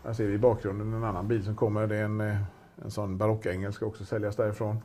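A man's voice: fairly low pitch, level tone, dark tone, slight background noise. A man casually chatting as an auction presenter, rambling with many restarts and fillers. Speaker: auction specialist presenting a car. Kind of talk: casual chat.

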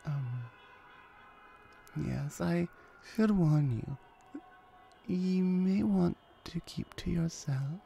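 A woman voicing a protective, flirtatious female hellhound in a roleplay ASMR audio drama, soft, reassuring and teasing. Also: heavily acted. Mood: cautioning, reassuring. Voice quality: low, soft, mellow. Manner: unhurried, reassuring, teasing, sometimes breathy.

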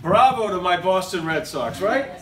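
A middle-aged man hosts with an upbeat, joking announcer's manner and a lively, rising and falling voice. He is heard from across a small echoey room through a handheld mic. Steady noise sits behind him. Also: high-pitched, normal speed. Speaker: host. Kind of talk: casual show hosting. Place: small room with brick walls and blinds, indoors. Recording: echoey room, noisy, from a far camera.

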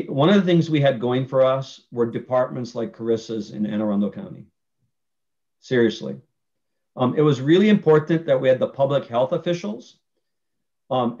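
A man's voice: medium pitch, a little mellow. Earnest man explaining on a video call. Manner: earnest, explanatory, with frequent fillers and restarts. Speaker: panelist speaking for nursing homes. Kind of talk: virtual town hall talk. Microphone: video call webcam. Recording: muffled video call audio.

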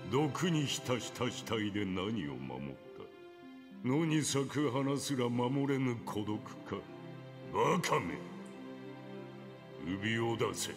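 An actor playing an ancient, solemn, stern reaper assassin in a video game, speaking in grave, archaic, commanding pronouncements. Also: male voice, medium pitch, natural voice. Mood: stern contempt. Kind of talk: scripted video game character lines. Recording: game audio over loud music.

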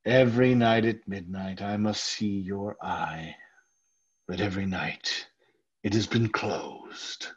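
A man's voice: on the low side, fairly even intonation, takes it slowly, slightly rough. A man playing a nervous, obsessive murderer confessing in an old-time radio horror style, intense and lingering over words. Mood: menacing. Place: indoor home room, group video call. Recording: dull sound, music underneath.

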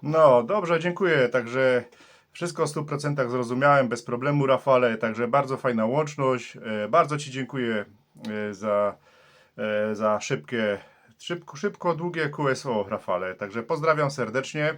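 A man from Poland working a CB radio contact, using formal call signs and procedure in a friendly, steady way. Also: mid-range voice, measured pace. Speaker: CB radio operator.

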